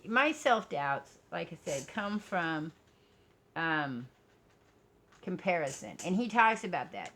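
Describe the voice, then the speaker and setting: A woman with a strikingly deep voice chats in a loose, rambling vlog style. She is frank and self-deprecating, often stopping and restarting as she works out her thoughts aloud. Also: a bit bright. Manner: candid, self-deprecating, blunt. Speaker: vlogger. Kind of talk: casual rambling personal vlog.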